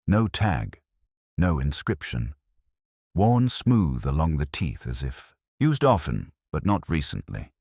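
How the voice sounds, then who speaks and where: Very deep husky man narrating a mystery. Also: no room sound, clean studio sound. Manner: measured, brooding, literary delivery. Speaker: narrator of a detective story. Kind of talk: scripted first-person mystery narration.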